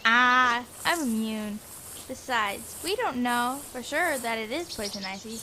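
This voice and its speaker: A woman's voice: on the high side, lively intonation, measured pace, bright, forceful tone, slight background noise. A woman playing a breezy, confident young girl scout in an audio drama, casual and unbothered.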